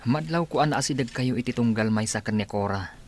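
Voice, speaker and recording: A man's voice: medium pitch, fast talker, a little mellow, slight background noise, close to an everyday voice. A man playing a frank, cautioning village friend in radio drama, with an Ilocano accent. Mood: frank, advising.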